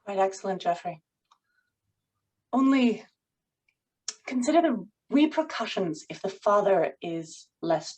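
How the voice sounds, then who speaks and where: A woman's voice: medium pitch, darkish tone, husky, heavily acted, rough recording. A woman playing a poised, controlling writer's wife in a period drama table read, with formal, persuasive phrasing. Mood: gentle, suggestive.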